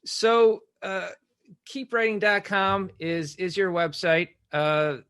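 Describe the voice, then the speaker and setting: Bright-voiced male podcast host, chatty and emphatic. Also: high voice, flat delivery, slow, clear, resonant tone. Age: middle-aged. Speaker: podcast host and screenwriting teacher. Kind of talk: conversational interview about screenwriting.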